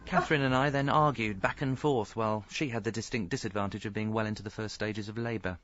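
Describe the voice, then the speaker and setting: A man's voice: on the low side, steady tone, quick pace, fairly bright tone, dull audio, lightly acted. An actor with a British accent playing a hapless, pleading husband and father who narrates his own mishaps in a radio comedy drama, stammering and earnest. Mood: wry narration.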